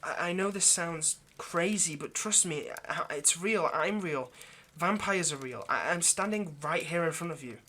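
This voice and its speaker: Man playing an anxious lovesick vampire, bright-voiced. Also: a little high. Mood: agitated, defensive, earnest. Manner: halting, stammering, pleading. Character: anxious, lovesick male vampire kidnapper, centuries old but youthful. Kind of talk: intimate ASMR audio roleplay.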